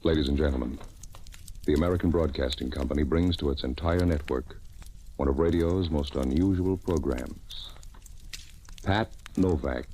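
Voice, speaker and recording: A man with an extremely deep voice makes a formal announcement. The delivery is grand and scripted, in the manner of vintage network radio. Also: moderate pace, dark tone, a little hiss or hum.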